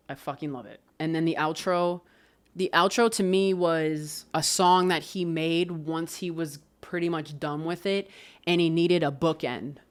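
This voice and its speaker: A woman casually talking through an album review, loose and expressive, swearing and laughing often. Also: low-pitched, clean tone. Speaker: music reviewer.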